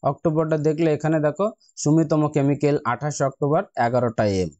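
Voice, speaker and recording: A man's voice: on the high side, fast, warm tone, no echo, highs cut off. A man with an Indian Bengali accent, narrating a casual step-by-step tutorial and addressing viewers as friends in an instructional way. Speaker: investing tutorial host.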